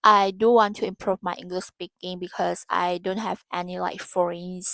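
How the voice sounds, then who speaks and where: A woman in her twenties, a native Indonesian speaker, talking through her goals in casual, halting English and often searching for words. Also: on the low side, flat delivery, creaky, a bit bright, dry recording. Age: 20s. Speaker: vlogger. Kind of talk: casual vlog talk about goals.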